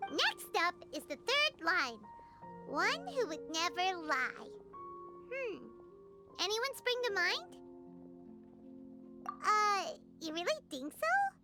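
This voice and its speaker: A woman voicing a tiny, chirpy, childlike fairy companion in an anime video game, squeaky, blunt and playful. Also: big pitch swings, takes it slowly, pauses often, full character voice. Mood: musing, cheeky. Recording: loud background music.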